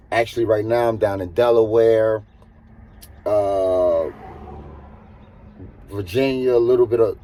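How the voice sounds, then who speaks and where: A man with a husky voice, talking casually as a truck driver giving earnest, emphatic advice and repeating points for stress. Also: fairly low pitch, dark tone. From United States. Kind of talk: casual advisory monologue.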